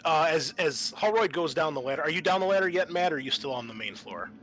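A man narrating a tabletop roleplaying game as game master, in a halting, conversational way. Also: on the high side, lively intonation, fairly bright tone, faint music behind, poor audio. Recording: faint background noise.

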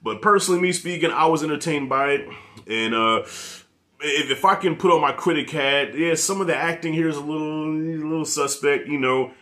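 Profane, animated man with a New York accent. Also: a little high, fairly quick. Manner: profane, animated, talks with his hands. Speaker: movie reviewer. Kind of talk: casual review rant. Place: living room with posters.

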